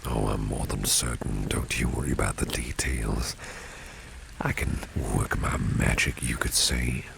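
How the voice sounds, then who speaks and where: A man playing a protective, flirtatious boss in an ASMR roleplay, speaking softly and suavely in a gravelly, breathy near-whisper. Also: a bass voice, full character voice. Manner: slow, suave, soft-spoken. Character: protective, flirtatious male boss, smooth and courtly. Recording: steady rain noise behind the voice. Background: rain and street ambience.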